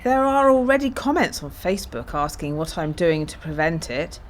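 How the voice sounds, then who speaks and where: A woman's voice: low voice, big pitch swings, moderate pace. Woman acting a wry, uneasy scientist, noisy recording. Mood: dry, uneasy. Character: anxious but wry woman professor of geology. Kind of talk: amateur audio play acting.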